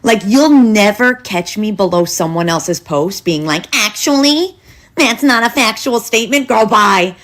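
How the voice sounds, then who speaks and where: A woman's voice: medium pitch, lively intonation, unhurried, fairly bright tone. A woman giving a casual, comedic rant in a sassy, emphatic way.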